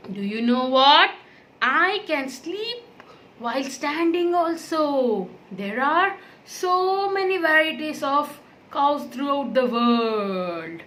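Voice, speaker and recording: Woman acting cheerful cow puppet, children's show, bright high voice, eager, simple repeated phrases. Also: big pitch swings, takes it slowly, heavily acted. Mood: cheerful, proud. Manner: eager, simple short sentences with repetition.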